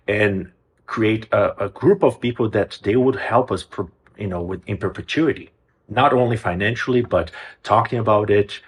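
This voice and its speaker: A man from Brazil, a music program's executive director, answering interview questions earnestly, often restarting his phrases. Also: fairly low pitch. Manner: earnest, with frequent restarts and hesitations.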